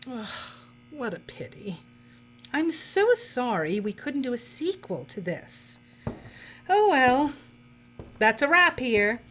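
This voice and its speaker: A woman's voice: fairly high pitch, very animated, slow pace, long pauses, fairly bright tone, muffled recording, slight background noise, heavily acted. A woman playing a breezy, callous female television boss in an audio drama, theatrical and drawling, with condescending endearments. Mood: breezy disdain.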